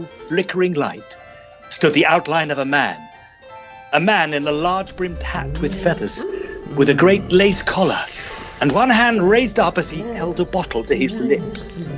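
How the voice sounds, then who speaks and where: A man playing an easily flustered Edwardian gentleman ghost hunter, earnest and old-fashioned, in a tongue-in-cheek audio drama. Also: fairly high pitch, moderate pace, soft background music, natural voice. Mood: dramatic storytelling.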